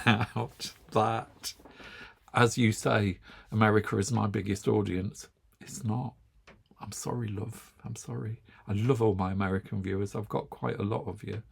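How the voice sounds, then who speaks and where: A man's voice: lowish voice, vocal fry, breathy edge. A middle-aged English man with an English accent, hosting a knitting channel and chatting wryly to camera with frequent chuckles. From England. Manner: chatty, wry, chuckles often. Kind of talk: casual chat to camera.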